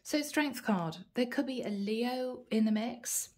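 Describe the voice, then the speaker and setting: A woman with a head cold giving a tarot card reading, musing softly and thinking aloud as she goes. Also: fairly high pitch, slow pace. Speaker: tarot reader.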